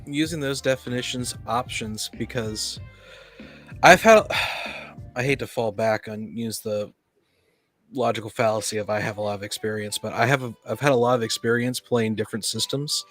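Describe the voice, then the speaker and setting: A man arguing his opinion in a casual group discussion, halting and rephrasing as he builds his case. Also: medium pitch, steady tone, a bit bright. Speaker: podcast panelist, experienced tabletop player. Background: background music.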